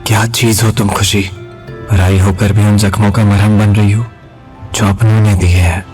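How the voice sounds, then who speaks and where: A man's voice: on the low side, little pitch movement, moderate pace, a little mellow, faint music behind, natural voice. A man playing a proud, wounded young man, brooding and earnest, in an audio serial drama. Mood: brooding, tender.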